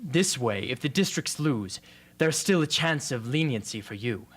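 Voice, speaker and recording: An earnest, defiant young rebel man, played by an amateur actor, speaking as a loyal friend in a radio play. His voice has a medium male pitch. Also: expressive, normal speed, a bit bright. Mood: grave, intense. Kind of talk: amateur radio drama acting.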